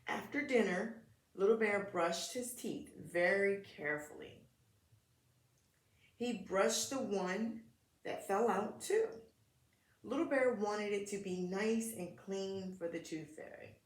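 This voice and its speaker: A woman's voice: mid-range voice, slow pace. A woman reading a picture book aloud to preschool children in short, simple phrases, talking to them directly. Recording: echoey room.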